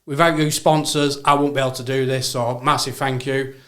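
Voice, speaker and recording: A man's voice: mid-range voice, little pitch movement, fairly quick, fairly bright tone. A man hosting a podcast, chatting casually and upbeat, laughing often. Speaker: podcast host. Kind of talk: casual interview chat.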